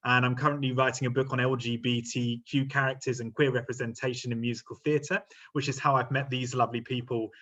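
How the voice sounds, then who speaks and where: A middle-aged man moderates a panel from his home over a video call, his voice sitting in a middle pitch. He talks in a friendly, halting way, full of ums. The sound is slightly dull and muffled. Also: a bit bright. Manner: friendly, hesitant, many ums and uhs. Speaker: university lecturer hosting a panel. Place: home room.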